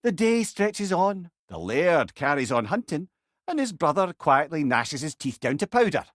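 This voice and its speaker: A man's voice: fairly high pitch, big pitch swings, normal speed, fairly bright tone, no room sound, studio quality. An actor playing a witty, learned old male sage in a video game, with a Scottish accent and a wry, eloquent way of talking. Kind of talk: video game character dialogue.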